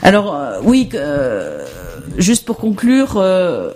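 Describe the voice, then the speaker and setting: A woman's voice: on the low side, huge pitch swings, slow, mellow. Hesitant woman presenting research. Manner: hesitant, many fillers and restarts. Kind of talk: academic presentation.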